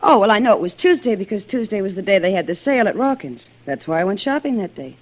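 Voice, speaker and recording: Actress as a lively, breezy young roommate. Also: female voice, mid-range voice, fast, natural voice. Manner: offhand, chatty. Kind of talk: 1940s-50s radio drama acting, casual dialogue. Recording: dull old radio recording.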